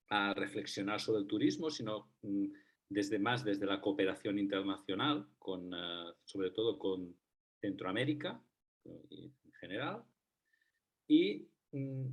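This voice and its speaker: A man with a Catalan accent, a researcher speaking as a debate guest, hesitant with many fillers and restarts. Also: fairly low pitch. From Catalonia, Spain. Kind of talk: debate talk.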